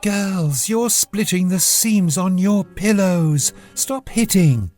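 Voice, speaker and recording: A storybook narrator voicing a mum who is gently firm and caring with her young daughters. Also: medium pitch, expressive, slow pace, soft background music, pitched above the performer's own voice.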